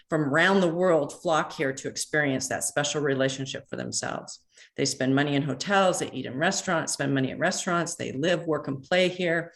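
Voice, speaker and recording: A woman, president of a neighborhood association, reading an earnest public comment over a phone line, measured with a few halting restarts. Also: low-pitched. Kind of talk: prepared public comment read aloud. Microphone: phone call into online meeting.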